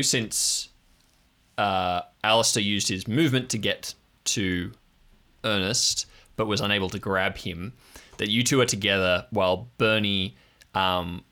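A man narrating an improvised audio drama scene as game master, halting and deliberate, pausing mid-sentence. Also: low-pitched, a bit bright.

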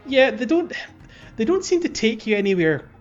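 A man's voice: very high-pitched, big pitch swings, moderate pace, lo-fi sound, soft background music. A man giving casual, chatty gaming commentary as a podcast host, telling things informally.

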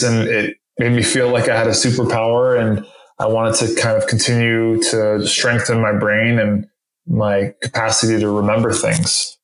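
Halting man with a roomy echo. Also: on the low side, a bit bright. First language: French. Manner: halting, lots of fillers and restarts. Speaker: interview guest. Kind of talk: casual interview conversation.

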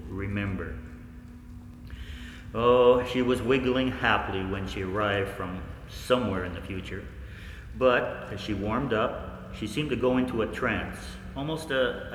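An actor playing a male researcher narrating strange events in a 1940s radio play, in a folksy, measured storytelling way. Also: lowish voice, a bit bright. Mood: wondering. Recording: echoey hall with background noise.

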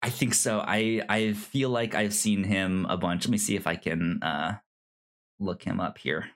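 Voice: sits low, steady tone, unhurried, fairly bright tone. A podcast co-host casually discussing film, often stammering and repeating words and sounds.

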